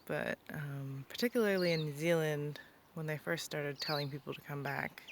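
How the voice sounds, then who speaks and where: Young female vlogger, casual and rambling, a fry-edged voice, on a noisy outdoor recording. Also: low voice, steady tone, normal speed. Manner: rambling, many ums and likes. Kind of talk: casual vlog talk. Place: rural property outdoors, birds around.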